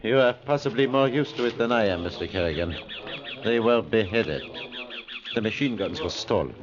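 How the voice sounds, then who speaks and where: A proud, stern colonial inspector, played by a man, talks in stiff, formal sentences. His pitch moves a lot, and he sounds authoritative. This is a muffled vintage radio recording, with a crowd heard behind him. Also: expressive, moderate pace, natural voice. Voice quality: formal, clipped speech. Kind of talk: 1940s radio drama acting.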